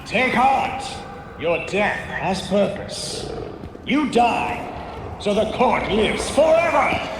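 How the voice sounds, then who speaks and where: An actor playing a menacing, zealous villain who leads a cult in a video game, speaking in a grandiose, commanding way. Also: male voice, high-pitched, very animated, drawn-out words, full character voice. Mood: commanding, triumphant. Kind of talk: dramatic video game acting. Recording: roomy reverberant hall, distant voice, noisy background.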